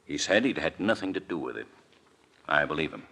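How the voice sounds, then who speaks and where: An actor playing an earnest, emphatic city official in a 1940s radio mystery. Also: male voice, a bass voice, sing-song, a little fast, gravelly, bright, forceful tone, breathy edge, exaggerated voice. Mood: earnest, defensive. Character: earnest, insistent male city official under pressure.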